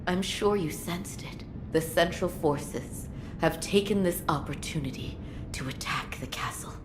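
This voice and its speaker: A woman playing a solemn, dutiful attendant in a video game cutscene, speaking formally and in hushed, measured phrases. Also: low-pitched, background noise. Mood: grave, hushed.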